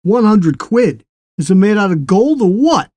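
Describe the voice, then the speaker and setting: A man's voice: sits high, very animated, measured pace, darkish tone, no room sound, pitched above the performer's own voice, heavily acted. A man voicing a blunt, suspicious working-class British soldier in an audiobook story, slangy and questioning. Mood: demanding.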